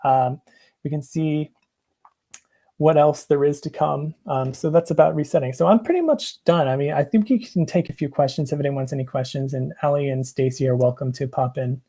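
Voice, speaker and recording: A man's voice: on the high side, fairly quick, mellow. A young American man presenting over a video call from a small room at home, rambling with many ums and restarts. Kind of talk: casual video call chat. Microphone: earbuds on a video call.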